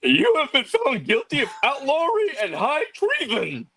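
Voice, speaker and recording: A man's voice: extremely high pitch, wildly swooping pitch, measured pace, bright, forceful tone, heavily acted. A man acting a stern, commanding medieval sheriff with formal delivery in a script read. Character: stern medieval sheriff pronouncing a death sentence.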